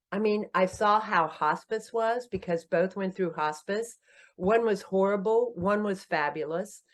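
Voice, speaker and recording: A woman in her sixties plays a chatty author telling stories in a podcast interview, wry about her own age and laughing at her own jokes. Her voice sits in a middle register and swoops up high for punchlines. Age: 60s. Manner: animated, self-deprecating, laughs often, pitch leaps when joking. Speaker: podcast guest, author and company founder. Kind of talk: casual interview storytelling.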